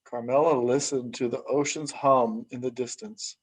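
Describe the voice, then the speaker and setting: A man reading a children's story aloud expressively, with measured, dramatic phrasing and hushed sentence endings. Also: medium pitch, fairly even intonation, husky, rough recording. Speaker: story reader. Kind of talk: expressive children's picture book read-aloud.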